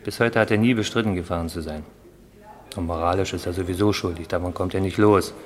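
An actor playing a reflective, good-natured workmate who rambles, in a German radio crime drama. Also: male voice, sits low, fairly even intonation, fast talker, lightly acted.

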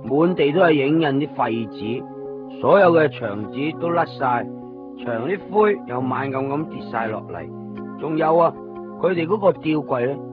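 Actor as animated Hong Kong young man, Cantonese radio drama. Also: mid-range voice, lightly acted. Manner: colloquial, expressive. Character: excitable, anxious young man who narrates his own strange story. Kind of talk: 1990s Cantonese radio drama acting and narration. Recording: dull 1990s radio recording, loud music behind. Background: music.

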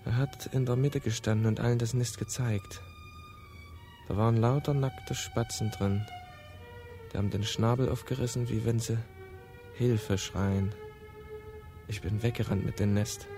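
A man's voice: mid-range voice, fairly even intonation, a little slow, pauses often, music in the background, close to an everyday voice. A young man playing a frightened, hesitant teenage boy in a radio drama. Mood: haunted remembering. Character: scared, hesitant teenage boy hiding from a gang.

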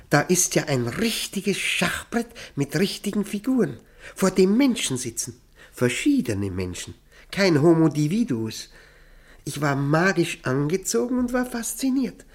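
A man acting a courteous, nervous former prisoner in a radio play, speaking hesitantly and reflectively with formal politeness. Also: on the high side. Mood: intense, fascinated. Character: haunted Austrian lawyer, former Gestapo prisoner, courteous and nervous. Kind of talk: German radio play acting, confiding narration.

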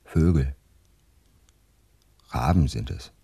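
An actor playing an earthy, stubborn young recruit in a German radio play, blunt and defiant, with a husky voice. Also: male voice, extremely low pitch, slow, dark tone, heavily acted. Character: earthy, stubborn young peasant-minded man, army recruit.

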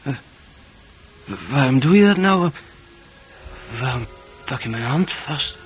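A man's voice: medium pitch, expressive, takes it slowly. An actor in a Dutch radio play, playing a naive, heartbroken young man who is shy and stammers hesitantly. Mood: bewildered, tender. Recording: radio play with music behind.

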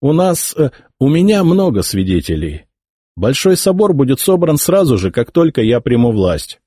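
A man's voice: mid-range voice, big pitch swings, moderate pace, dark tone, husky, no room sound, studio quality, pitched above the performer's own voice. A man voicing an arrogant young heir to the throne who mocks and threatens his old uncle. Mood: sneering. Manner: contemptuous, mocking. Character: arrogant young male emperor's heir, sneering. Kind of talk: audiobook character voicing.

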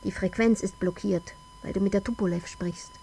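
A woman's voice: fairly even intonation, normal speed, creaky, warm tone, husky. A woman playing a stern inquisitor in a radio drama, recounting events in a clinical, precise, accusatory way. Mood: accusing. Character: stern female inquisitor retelling a disaster to the dead controller. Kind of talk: scripted radio drama in second person. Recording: noisy with low hum.

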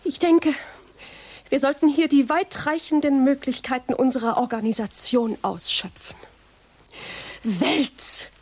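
An actress playing a brisk, self-assured press agent in a radio play, speaking in a crisp, businesslike way. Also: female voice, high-pitched, steady tone, a little mellow.

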